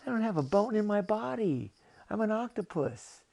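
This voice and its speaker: A man's voice: high voice, very animated, moderate pace, creaky, darkish tone, voice pushed up, exaggerated voice. A man voicing a brash, impatient talking octopus in a storybook tale, cajoling in casual, slangy, exasperated speech. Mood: insistent.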